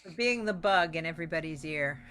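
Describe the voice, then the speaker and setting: A woman's voice: low voice, expressive, a little fast, fairly bright tone, clean tone. A woman co-hosting a casual online theater talk-back in a welcoming, emphatic way. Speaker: theater company representative, co-host. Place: online video call.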